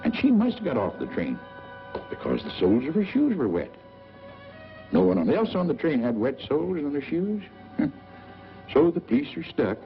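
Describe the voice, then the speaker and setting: A man's voice: on the high side, huge pitch swings, moderate pace, pauses often, faint music behind. An actor playing a friendly, talkative small-town man in the manner of a 1940s film. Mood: gossipy. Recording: dull old film soundtrack.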